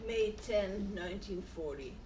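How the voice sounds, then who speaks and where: An elderly woman, answering questions in short, plain, halting phrases. She sits some way from the mic in an echoey room with faint ambient noise behind her. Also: medium pitch, lively intonation, far from the mic. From Netherlands. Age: 80s. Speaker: interviewee. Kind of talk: oral history interview.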